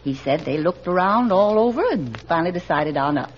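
A woman's voice: fairly low pitch, animated, brisk, a little hiss or hum, close to an everyday voice. An actress playing a doting, chatty middle-aged small-town mother in 1940s radio style, folksy and deferential. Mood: excited, proud. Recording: dull 1940s radio recording.

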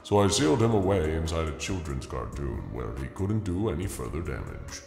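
A man voicing a calm, unflappable old God with a deep, measured, gently condescending delivery and heavy reverb. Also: creaky, faint music behind, heavily acted. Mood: wry. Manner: calm, unhurried, wryly patronising. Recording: echoey voice with long reverb.

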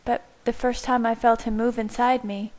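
A woman reading the part of an earnest, tender young pregnant woman, speaking gently and reassuringly. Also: on the high side, little pitch movement, moderate pace, voice pushed up, natural voice.

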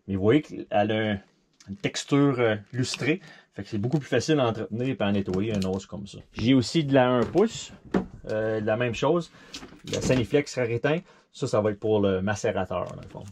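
A man's voice: medium pitch, a little mellow, slight background noise. A man in his thirties with a Québécois French accent, casually explaining a boat toilet refit from a small cabin, thinking aloud and mixing in English terms. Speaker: sailboat owner showing a refit.

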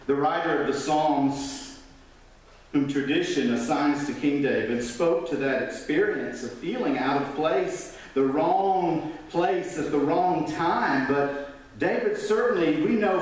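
Male pastor, 50s, slow and highly animated sermon delivery, heard from afar in a roomy sanctuary. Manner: dramatic preaching, swelling up then dropping to near whisper; gestures widely at the pulpit. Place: large church sanctuary. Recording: echoey church from a distance.

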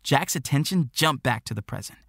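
A man narrating an audiobook story in an expressive, scripted reading style. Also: mid-range voice, brisk, vocal fry, slightly rough, dry recording, clean studio sound.

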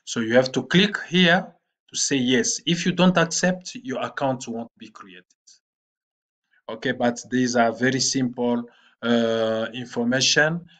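Slow, halting man giving a screen tutorial. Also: mid-range voice, fairly bright tone. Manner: slow and halting, with frequent "uh" fillers. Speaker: online platform trainer. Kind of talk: screen-recorded tutorial walkthrough.

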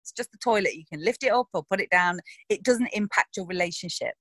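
Woman, actress interview, frank and animated, casual swearing, dry close sound. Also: mid-range voice, fast, dry recording. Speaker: actress discussing her roles.

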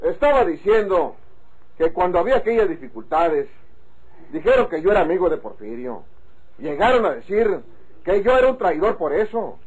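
High-voiced, emphatic Mexican countryman. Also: big pitch swings, moderate pace, breathy edge, exaggerated voice, poor audio. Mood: firm. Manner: emphatic, insistent. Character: blunt, proud rural Mexican man, wary and honest. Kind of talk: old radio drama acting. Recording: old radio drama recording.

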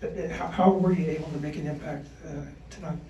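A man's voice: fairly high pitch, steady tone, fast talker, warm tone, recorded from a distance, dull audio. A man asking questions as a reporter at a press conference. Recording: echoey room, off-mic, faint noise.

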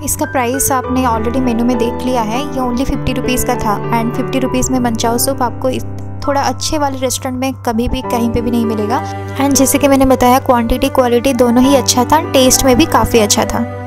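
A young woman with a high voice gives a chatty food vlog review, slipping English words in and pausing often on "uh". Music plays clearly beneath her voice. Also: fairly even intonation. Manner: chatty, mixes English words into Hindi, frequent "uh" pauses. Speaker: food vlogger. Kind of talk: casual restaurant review voice-over. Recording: music playing under the voice.

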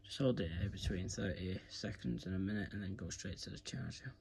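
A man's voice: low voice, a little fast, gravelly, darkish tone, slight background noise. A British man giving a casual hands-on tutorial in an informal, rambling, repetitive way, recorded on a phone at home. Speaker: video presenter giving a how-to demonstration. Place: indoors at home, carpeted room. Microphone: phone camera filming his hands.

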